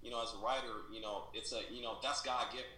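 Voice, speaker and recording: An American man answering as an interview guest, earnest and conversational, often halting and repeating phrases as he goes. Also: mid-range voice, fairly even intonation, sharp tone. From Kansas City, Missouri, USA. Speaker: guest, writer. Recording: echoey room, faint background noise.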